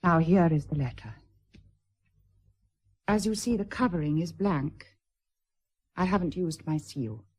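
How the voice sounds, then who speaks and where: An actress playing a proud, nervous, wounded estranged wife, middle-aged, with a British accent and a formal, hesitant manner in a period radio drama. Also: female voice, lowish voice, animated, a little slow, pauses often, darkish tone, slightly rough. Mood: guarded, earnest.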